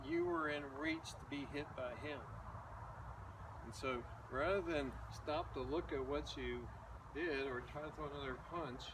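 An older man, a boxing coach, explaining and demonstrating moves in a back garden. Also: a little high. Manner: slow, plain-spoken, demonstrating moves while talking. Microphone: far camera. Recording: distant outdoor mic with loud background noise.

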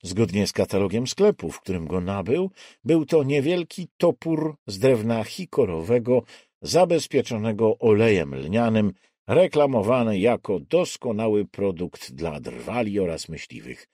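Male audiobook narrator, dramatic thriller reading, husky, lively intonation, dry close mic. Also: fairly low pitch, unhurried, no echo. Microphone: close studio microphone.

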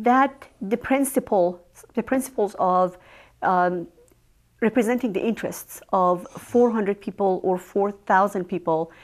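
A woman with a Persian accent speaks in an even middle register during a local cable interview. She talks in a measured, careful way and often pauses on ums and uhs while she gathers her thoughts. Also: medium pitch. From Iran. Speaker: village trustee candidate.

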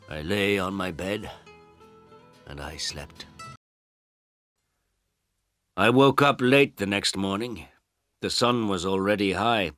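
A man reading a simple story for language learners as a narrator, in deliberate, clearly separated short phrases. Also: deep voice, pushed and bright, no room sound. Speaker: audiobook narrator.

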